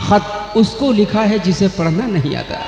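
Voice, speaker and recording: A man's voice: high-pitched, big pitch swings, moderate pace, creaky, very echoey, in a large hall, lo-fi sound, loud music behind the voice. A man who performs ghazal and qawwali recites poetry to an audience in a declamatory stage manner, on an old cassette recording. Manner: declamatory, addresses the audience, repeats lines. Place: stage program at an urs fair.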